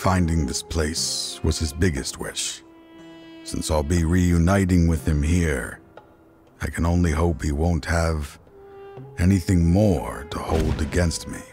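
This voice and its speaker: A very low, weary voice of a dying older lawman, solemn and resigned. He speaks in measured, remorseful phrases as in a dramatic game scene, with faint music underneath. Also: a little mellow. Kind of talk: dramatic video game voice acting. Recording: music behind the voice.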